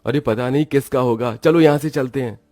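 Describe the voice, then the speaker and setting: A man's voice: mid-range voice, fairly quick, darkish tone, no room sound, studio quality, soft background music, lightly acted. A man voicing a cautious, protective young father and husband in a moral-story cartoon. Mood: hesitant.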